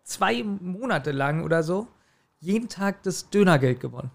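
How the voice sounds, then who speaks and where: A man chatting casually as a podcast co-host, telling personal stories in an animated way and often chuckling. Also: high voice, moderate pace, gravelly. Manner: animated storytelling, frequent chuckling. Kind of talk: casual chat, personal anecdotes.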